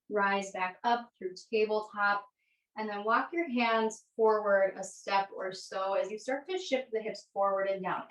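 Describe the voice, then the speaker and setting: A woman teaching a yoga class, giving gentle, unhurried guided instructions. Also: medium pitch. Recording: echoey room, distant microphone.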